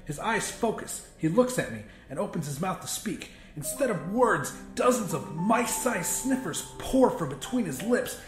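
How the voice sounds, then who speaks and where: A man's voice: high-pitched, sing-song, normal speed, few pauses. A man narrating a first-person horror audiobook in an intense, emphatic, dramatic style. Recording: roomy echo, music behind.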